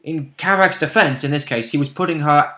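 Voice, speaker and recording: A man's voice: a little high, a little fast, sharp tone. Wry man in an echoey room. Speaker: player in a tabletop roleplaying game. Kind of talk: casual chat about the game. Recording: roomy, echoing indoor sound.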